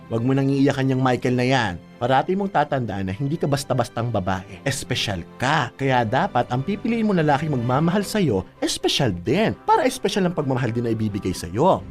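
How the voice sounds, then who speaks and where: A woman's voice: a bass voice, darkish tone. A woman playing a fiercely protective older sister in a radio drama, scolding and blunt but loving, with emphatic delivery. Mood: tender. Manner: scolding, emphatic, with frequent tag questions. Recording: music under the voice.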